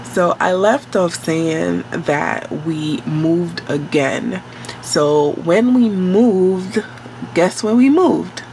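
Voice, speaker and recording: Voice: mid-range voice, vocal fry, darkish tone, slight background noise, low-quality recording. A vlogger, telling a work story in a casual, rambling way, sounding nasal from a cold. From New York City. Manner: slow, rambling and conversational, with many fillers and self-corrections.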